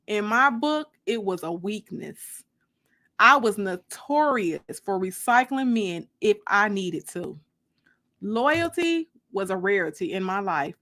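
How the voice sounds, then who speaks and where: A woman giving a dramatic first-person audiobook reading in an expressive, conversational storytelling manner. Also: mid-range voice. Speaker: author reading their novel aloud.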